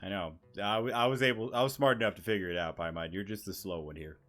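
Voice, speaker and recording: A woman's voice: extremely low pitch, fast talker. A woman giving casual, blunt, slangy commentary over a game as she plays it. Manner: laid-back, blunt, slangy. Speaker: gaming YouTuber. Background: faint game music.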